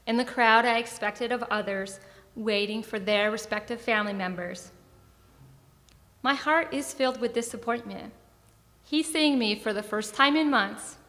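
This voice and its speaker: A young woman, a military veteran, reading her own scripted personal story on stage in a measured, deliberate way. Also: a little high, sharp tone. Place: theatre stage at an arts centre. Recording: long hall reverb.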